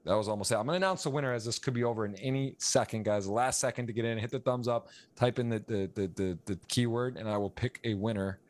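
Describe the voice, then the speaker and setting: An American man calling a live poker stream, rambling and repeating words, and getting excited on big hands. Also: medium pitch, quick pace, hardly any pauses. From United States. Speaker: poker commentator and stream host. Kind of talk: live play-by-play commentary.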